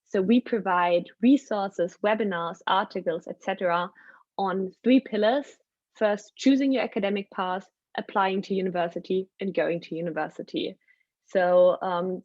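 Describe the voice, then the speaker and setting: A woman's voice: lowish voice, dry recording. Young woman hosting a zoom webinar, lots of ums, dry dull call sound. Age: young adult. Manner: hesitant, many ums. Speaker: webinar host. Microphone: video call.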